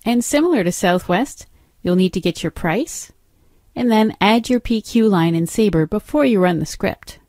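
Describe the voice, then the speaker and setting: A woman narrating an instructional software demo as a trainer, explaining step by step in an instructive way. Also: mid-range voice, expressive, moderate pace, dry recording, studio quality.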